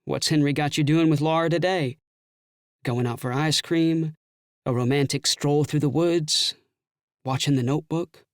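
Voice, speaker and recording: A man's voice: on the high side, fairly even intonation, normal speed, dry recording, clean studio sound, natural voice. A man playing a jealous, sarcastic magician who hides his nerves behind theatrical quips in an audiobook drama. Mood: sarcastic, uneasy. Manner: wry, theatrical, quippy delivery. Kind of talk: full-cast audiobook dialogue acting.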